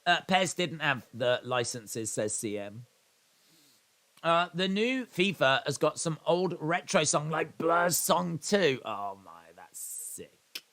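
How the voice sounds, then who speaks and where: A man's voice: on the high side, very animated, takes it slowly, a bit bright. A man in his thirties from England, hosting a casual live watch-along stream, chatting, rambling and reading out viewer comments with self-deprecating asides.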